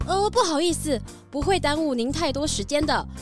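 Woman as a polite, high-voiced bear schoolteacher. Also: lively intonation, moderate pace, over music. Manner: courteous, formal, reassuring. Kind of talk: children's audio drama acting.

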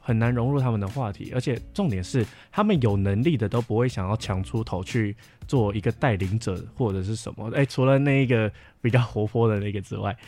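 Music plays behind a young man with a fairly low voice. He speaks Taiwanese-accented Mandarin in a casual podcast style, explaining things at length in a reflective, hedging way. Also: a little fast, hardly any pauses, a little mellow. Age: young adult. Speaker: camp counselor sharing experiences. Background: background music.

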